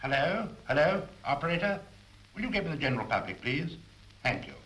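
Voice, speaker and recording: A flustered, earnest British recruiter talks into a telephone in 1940s film style. His voice is bright and fairly high, swinging about in pitch. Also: very animated, a little fast, faint music behind, poor audio. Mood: polite, fussing. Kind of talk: 1940s British public information film monologue.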